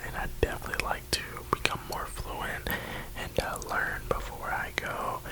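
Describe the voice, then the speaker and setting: Young man, early 20s, from the US East Coast, soft rambling whisper, close-miked for ASMR. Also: poor audio. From New York and Florida, USA. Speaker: ASMR creator.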